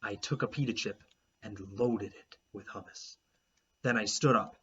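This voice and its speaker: A man, a teacher, reading a children's chapter book aloud with expressive, animated storytelling. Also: lowish voice, a little slow, a little hiss or hum. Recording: dull lo-fi recording.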